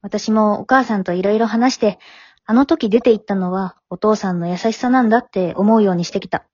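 A woman plays a high-school daughter in an amateur radio drama, plain-spoken and teasing but forgiving. Her voice sits at a middle pitch, recorded very dry and slightly muffled. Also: a little fast, a little mellow, no room sound, close to an everyday voice. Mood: frank, reproachful. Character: frank, forgiving teenage high-school daughter, gently chiding.

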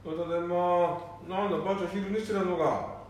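A man playing a gruff middle-aged son with a Nanbu dialect in an amateur radio drama. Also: high voice, fairly even intonation, a little fast, natural voice. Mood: casual. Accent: Nanbu dialect, northeastern Japan. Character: middle-aged eldest son, gruff, embarrassed by his father. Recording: very echoey, distant, faint noise.

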